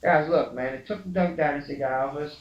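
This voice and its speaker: A man's voice: a little high, brisk, dull audio, voice pushed up, natural voice. A man voicing an indignant, patriotic American blowhard in a comic homemade video rant, complaining with slangy, profane grievance. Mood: aggrieved. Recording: roomy homemade video, laughter over it.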